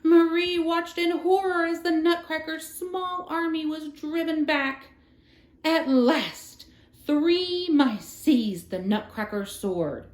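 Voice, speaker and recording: A woman reading a children's story aloud as a storyteller, in an expressive, dramatic style. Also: sits high, slow. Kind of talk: scripted children's storybook narration. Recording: roomy, echoing room sound.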